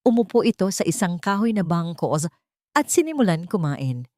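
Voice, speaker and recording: Low-pitched woman narrating a dramatic story. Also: big pitch swings, moderate pace, darkish tone, no echo, clean studio sound.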